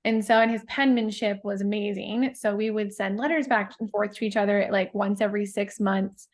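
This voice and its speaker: A woman poet talking in a casual interview, thoughtful and halting, with many fillers and restarts. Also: medium pitch, fairly even intonation. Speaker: guest poet.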